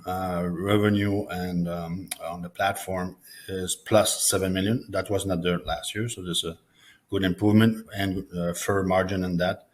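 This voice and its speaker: Male finance executive, low flat voice, hesitant, earnings call presentation. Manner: halting, hesitant delivery. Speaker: chief financial officer presenting.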